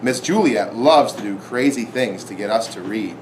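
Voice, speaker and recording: A man's voice: on the low side, expressive, normal speed, a little mellow, slight background noise, poor audio. A man on a school's staff reading a children's story aloud.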